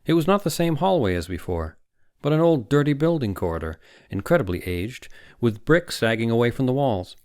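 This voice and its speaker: A man narrating an audiobook story in a dramatic, suspenseful manner with deliberate phrasing. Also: mid-range voice, very animated, a little mellow, dry recording, studio quality. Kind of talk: dramatic scripted fiction narration.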